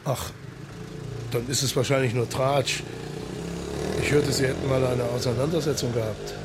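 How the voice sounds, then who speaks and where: An actor playing a reserved police marshal, speaking in a measured, probing, matter-of-fact way in a German radio crime drama. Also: male voice, medium pitch, steady tone, very noisy, close to an everyday voice. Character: sober, reserved male Italian police marshal investigating.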